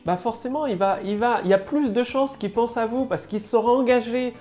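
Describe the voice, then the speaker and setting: A woman's voice: on the high side, fairly quick, dark tone, faint music behind. Chatty woman with a head cold. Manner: chatty, loose, heavy with fillers and restarts. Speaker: online marketing coach. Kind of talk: casual talking-to-camera tutorial.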